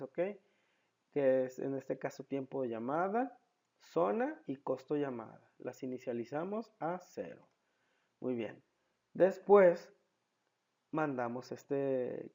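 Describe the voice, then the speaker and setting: A man's voice: mid-range voice, a little mellow, lo-fi sound. A man giving a step-by-step screen tutorial as a programming instructor, asking questions and answering them himself in a didactic way.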